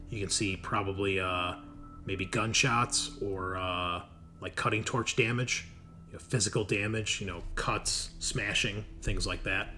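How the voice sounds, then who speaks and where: A man improvising narration as game master of a tabletop game, often halting to search for words. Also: on the low side, sharp tone. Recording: noisy room recording.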